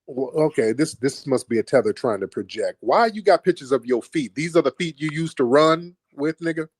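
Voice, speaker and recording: A man hosts a heated call-in livestream, his voice husky and slightly rough. He talks bluntly and confrontationally, pressing callers with repeated questions. The sound is close and dry. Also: medium pitch, expressive, a little fast, no room sound. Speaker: livestream host.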